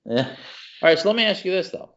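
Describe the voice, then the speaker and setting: A man's voice: high voice, big pitch swings, brisk, fairly bright tone, muffled recording. A man co-hosting a casual podcast, talking in an effusive, slangy way and repeating himself for emphasis. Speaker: podcast co-host. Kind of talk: casual chat about video games and movies.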